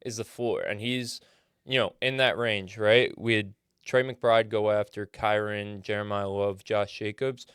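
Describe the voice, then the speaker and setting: A man's voice: fairly low pitch, steady tone, a little slow, fairly bright tone, clear, resonant tone, no echo, studio quality. A man co-hosting a casual fantasy football chat, sniffling with a cold and speaking in an opinionated way, often saying "like" and "right". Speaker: co-host.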